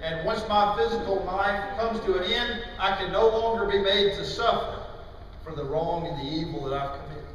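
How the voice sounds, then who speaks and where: A middle-aged man preaching a sermon in a church, with emphatic, deliberate phrasing broken by pauses. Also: sits high, fairly bright tone. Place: large church auditorium, indoors. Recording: distant, very echoey hall with faint noise.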